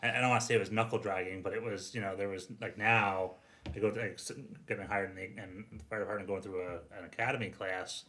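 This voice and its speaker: Podcast host, man from New York, low bright voice, loose rambling chat. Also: steady tone, fast. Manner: casual, rambling, lots of "like".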